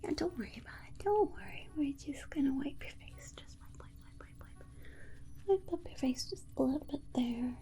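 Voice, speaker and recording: Whispering, gently coaxing alien scientist played by a woman. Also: sits high, a little fast. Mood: gently fussing. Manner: soothing, coaxing, repetitive, babying. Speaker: ASMR roleplay performer. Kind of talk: whispered ASMR medical roleplay.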